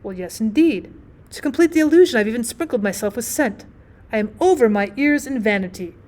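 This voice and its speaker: A woman voicing a giddy, boastfully happy newlywed gentleman in an audiobook, tipsy, effusive and rambling. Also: on the high side, lively intonation, a little fast, close to an everyday voice. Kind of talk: acted audiobook storytelling.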